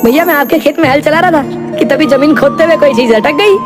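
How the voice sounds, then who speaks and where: A woman's voice: high-pitched, fast talker, over loud music, natural voice. A woman voicing an honest, hardworking younger brother, a male farmer in a cartoon moral story, speaking earnestly and plainly. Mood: excited.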